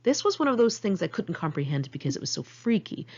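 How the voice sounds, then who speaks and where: A woman reads her personal memoir aloud in a candid, conversational style. Her voice sits a little low, with plenty of gravelly fry at the edges. The homemade recording sounds slightly dull and lo-fi. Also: big pitch swings, fast, darkish tone. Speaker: author reading own memoir. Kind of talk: audiobook narration of a personal memoir, read in one take. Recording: muffled lo-fi amateur recording.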